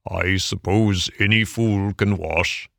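A man's voice: low voice, a little slow, gravelly, pushed and bright, no room sound, studio quality, exaggerated voice. A man voicing a conceited, hot-tempered male toad with a haughty, blustering manner in a storybook reading. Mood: desperate, sullen. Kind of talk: audiobook character acting.

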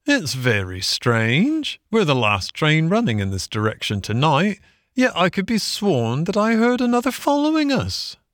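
A man plays a burly, kindly storybook engine driver. The voice is lifted well up in pitch, folksy and plain-spoken, like a friendly working man, and recorded dry. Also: huge pitch swings, measured pace, a bit bright, no echo, exaggerated voice. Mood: puzzled. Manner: folksy, plain-spoken, rustic turns of phrase. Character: burly, good-natured working-class male engine driver.

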